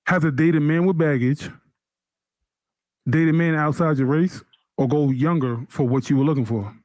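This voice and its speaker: A man's voice: a little high, normal speed, darkish tone, dry recording. A man in his thirties, a podcast co-host, arguing his point in a heated casual debate and repeating himself when pressed. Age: 30s.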